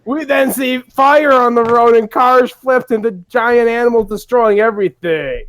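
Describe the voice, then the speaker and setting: A man's voice: very high voice, normal speed, fairly bright tone. A man narrating a comedic script reading, giggly and often slipping into casual joking asides.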